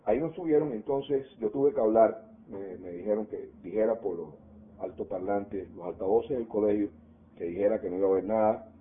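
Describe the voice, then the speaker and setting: An older man with a Cuban Spanish accent, recalling his school days in an oral history interview, animated and trailing off into quieter asides. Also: on the low side, fairly quick. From Cuba. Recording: tinny phone-like audio.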